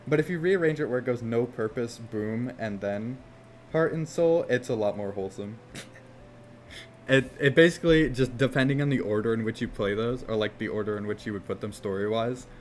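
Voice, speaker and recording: A man casually chatting on a game development live stream, rambling and swearing easily, with a somewhat monotone voice. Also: mid-range voice, fairly quick, a little hiss or hum. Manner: rambling, laid-back, swears casually, frequent "like" fillers.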